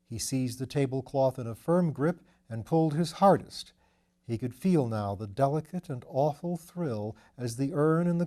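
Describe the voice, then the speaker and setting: A man's voice: mid-range voice, dark tone. A man reading a novel aloud as scripted literary narration. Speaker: audiobook reader.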